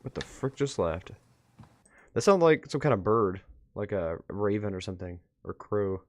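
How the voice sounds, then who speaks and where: A man hosting a reaction video, casually commenting and thinking out loud as he goes. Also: lowish voice, lively intonation, moderate pace, no room sound.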